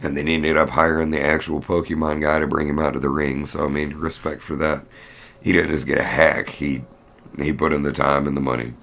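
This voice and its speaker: A man's voice: very deep voice, flat delivery, fairly quick, vocal fry, fairly bright tone. A man hosting a podcast, giving a casual fight recap in a blunt, rambling, profane manner. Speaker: podcast host.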